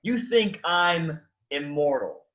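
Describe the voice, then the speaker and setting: A man acting a sarcastic, reluctant man just raised from the dead, deadpan, in a staged reading of a comic play. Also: fairly high pitch, takes it slowly, lo-fi sound. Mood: incredulous, confused.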